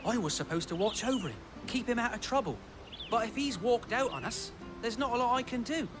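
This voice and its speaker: A young British village stable keeper from a fantasy game, speaks in a high, lively voice over music. He is plain-spoken and worried, like someone with a charge to look after. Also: brisk. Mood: puzzled, worried. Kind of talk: video game cutscene acting.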